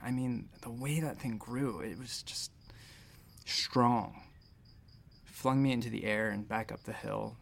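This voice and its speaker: Audio drama lead: flustered doctor, gravelly mid-range voice, conversational, digressive, hesitant. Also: male voice, medium pitch. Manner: rambling, hesitant, conversational asides. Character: flustered, rambling male space doctor recounting his ordeal. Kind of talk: audio drama dialogue and first-person narration.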